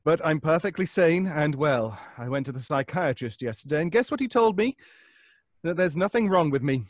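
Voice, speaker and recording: A man's voice: a little high, lively intonation, dry recording, clean studio sound. An actor playing a haunted, sleepless, obsessive man, anxious and stammering, in old-time radio suspense style. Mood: brooding, intense. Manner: halting, stammering, pleading, melodramatically poetic.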